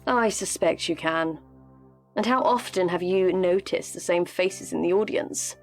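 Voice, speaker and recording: A woman's voice: lowish voice, measured pace, soft background music. A woman acting in a radio play as a shrewd political operative from a rival party, knowing and pointed, leading with rhetorical questions. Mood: insinuating.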